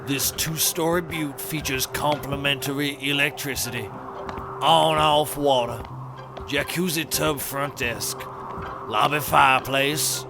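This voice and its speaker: An actor playing a folksy, ominous motel caretaker with a creaky voice and a deliberate storytelling manner in an audio comedy. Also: male voice, medium pitch, steady tone, music in the background, lightly acted. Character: folksy, ominous male motel caretaker, slow and plain-spoken. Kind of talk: scripted comedy audio drama.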